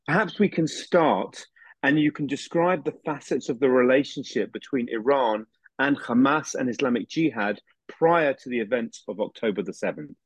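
A man's voice: medium pitch, moderate pace, slightly rough, no echo, rough recording. A man hosting an interview podcast, introducing his guest formally with a few restarts. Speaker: podcast host.

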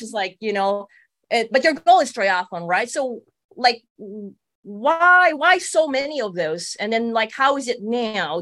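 A woman coach interviewing a client, asking long rambling questions and answering with quick affirmations. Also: on the high side, a bit bright, no room sound. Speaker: coach and host.

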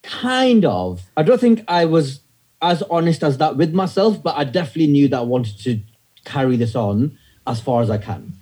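A comedian in his thirties with a northern English accent, telling stories in a relaxed interview with wry, self-deprecating humor. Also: a little high, normal speed, breathy edge. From north of England. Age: 30s. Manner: wry storyteller, self-deprecating, many fillers. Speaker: stand-up comedian guest. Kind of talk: casual interview chat.

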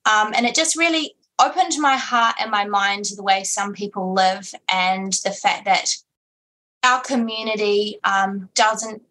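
Radio guest, a woman with a mid-range voice; measured, thoughtful answers. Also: mid-range voice, bright, forceful tone, low-quality recording. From New Zealand. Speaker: interview guest, foster mother.

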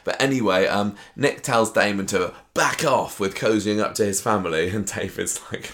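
A very deep-voiced man with a sore, croaky throat talks through the week's soap storylines. He speaks casually, stumbling and restarting often. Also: a bit bright. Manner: mocking impressions of characters, halting restarts. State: sore throat, losing his voice. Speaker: soap opera podcast host. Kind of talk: casual recap chat.

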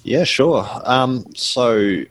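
A middle-aged man with a New Zealand accent, answering interview questions in a measured, explanatory way with frequent ums and restarts. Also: medium pitch, animated, fairly bright tone, poor audio. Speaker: guest author.

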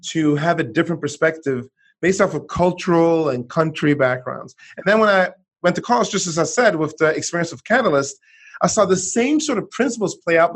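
Middle-aged man from Sweden, guest author, lively voice on the higher side, restarts a lot. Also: breathy edge. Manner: animated storytelling, frequent restarts. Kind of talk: conversational interview.

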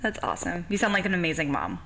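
A woman's voice: on the low side, sing-song, very fast, bright, forceful tone, a little hiss or hum. An American woman hosting a podcast interview in a casual, informal and agreeable way.

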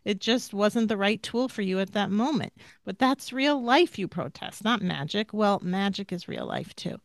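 A woman's voice: medium pitch, very animated, normal speed, vocal fry, no echo, clean studio sound. A woman hosting a podcast, earnestly reading book passages aloud and speaking with feeling about them. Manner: earnest and emotional. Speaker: podcast host.

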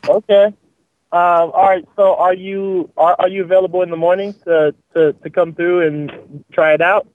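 Phone-call man, high pitch, halting speech, dry. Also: little pitch movement, no echo. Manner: halting, repeats words. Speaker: church contact receiving a call. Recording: tinny phone line.

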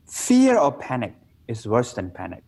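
Nepali-accented man, lively husky voice. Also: mid-range voice, measured pace. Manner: simple broken English, playful, laughs at himself. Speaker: meditation teacher. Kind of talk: relaxed interview conversation.

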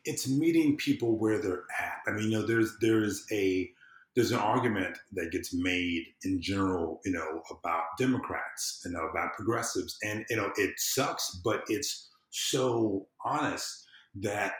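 A man's voice: fairly low pitch, measured pace. A man chatting casually as a podcast guest, explaining in a rambling way and often repeating his phrases. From southern United States. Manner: rambling, repeats phrases, explains through acted-out everyday examples. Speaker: podcast guest, civic engagement consultant. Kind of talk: casual conversational interview. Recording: roomy, echoey sound.

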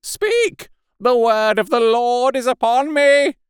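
A man's voice: extremely high pitch, animated, takes it slowly, smooth, no echo, studio quality, pitched above the performer's own voice, full character voice. A man voicing a half-mad curate in an audiobook, ranting like a doomsday preacher with fervent, wailing declamation. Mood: frenzied prophetic shouting. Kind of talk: dramatic audiobook character voice.

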